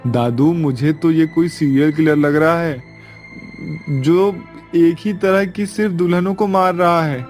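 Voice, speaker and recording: A concerned villager from a cartoon, played by a man, with a raised pitch. He is earnest and emphatic, and light music runs underneath. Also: steady tone, measured pace, a little mellow, close to an everyday voice. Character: concerned village men, resolute and speculating.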